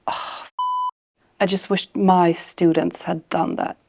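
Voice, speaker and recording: A woman's voice: lowish voice, little pitch movement, slow, creaky, background noise. A woman hosting a casual interview. She teases her guest playfully and keeps the tone light and joking. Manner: teasing, playful.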